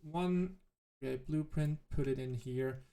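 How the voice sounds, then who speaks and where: A man whose native language is German, thinking aloud in halting, self-correcting commentary as he plays a game. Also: a little high, warm tone. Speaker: let's play host. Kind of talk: casual gameplay commentary.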